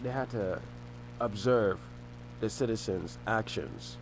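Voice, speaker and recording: Young man, lowish voice, halting rambling monologue with restarts, faint hum behind. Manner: halting, repeats and restarts phrases. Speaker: vlogger.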